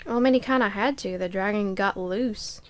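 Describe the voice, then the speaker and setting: A woman's voice: mid-range voice, fairly quick. A woman co-hosting a podcast, talking in a casual, conversational style. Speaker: podcast co-host. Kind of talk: casual chat.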